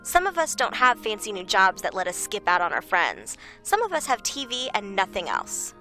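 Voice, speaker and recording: A woman's voice: mid-range voice, huge pitch swings, normal speed, bright, forceful tone, faint music behind. An actress playing a whiny, self-pitying, defensive woman with a melodramatic, complaining manner in an audio drama. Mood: bitter, defensive.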